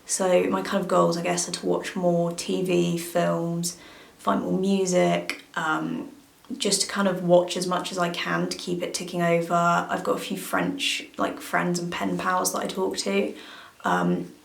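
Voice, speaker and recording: A woman's voice: fairly low pitch, measured pace, gravelly. A young woman talking casually to camera as a vlogger, hesitant and full of fillers and hedges. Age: young adult. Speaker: language-learning vlogger. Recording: echoey room.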